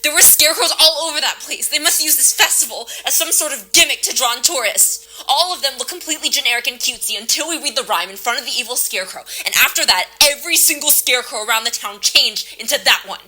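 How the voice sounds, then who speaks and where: A woman's voice: sits high, fast talker, few pauses, pushed and bright, heavily acted, poor audio. A woman playing a nervous, rambling, frightened client haunted by a scarecrow in a naturalistic audio drama. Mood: panicked, insistent. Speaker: actor in an audio play.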